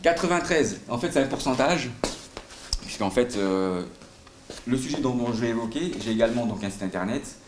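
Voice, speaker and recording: A man's voice: medium pitch, animated, normal speed. A middle-aged man with a light southern French accent giving a live sales talk at a conference, full of fillers and questions to the audience. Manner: hesitant, many fillers, asks the audience questions. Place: conference room. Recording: roomy hall with faint noise.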